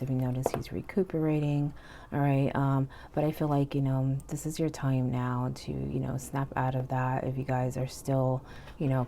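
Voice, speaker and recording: A woman giving a casual tarot reading, sounding stuffy and hoarse from illness, chatty with frequent "okay?" and "you know". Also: a bass voice. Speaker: tarot reader. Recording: faint background noise.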